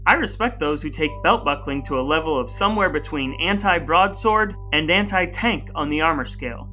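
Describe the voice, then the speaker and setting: A man's voice: on the high side, animated, brisk, bright, forceful tone, faint music behind. An American man co-hosting a podcast, moving between scripted intros and casual chat in a playful, wordy, announcer-like way. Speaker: podcast co-host.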